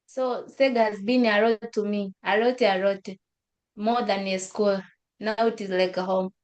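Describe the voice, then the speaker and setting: A young woman from Africa, sharing her story on a webinar call in halting English with many fillers and repeated phrases. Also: medium pitch, steady tone, a little mellow, dull audio. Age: young adult. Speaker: school graduate. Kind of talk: webinar testimony.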